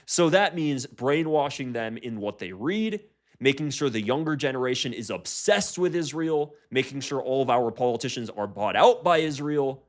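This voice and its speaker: A man's voice: a little high, a little mellow. An American man giving heated reaction commentary, often restarting his sentences. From United States.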